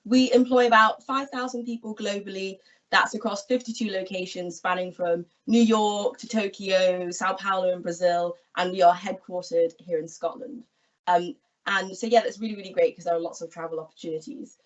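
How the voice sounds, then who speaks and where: A woman in her twenties, from England, explaining her job in a friendly, clear way on an online careers talk. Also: medium pitch, steady tone, moderate pace. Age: 20s. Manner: friendly, explanatory, gestures while talking. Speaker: equity research analyst guest. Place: small room at home, indoors. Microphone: laptop webcam video call. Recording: distant, dull video call audio.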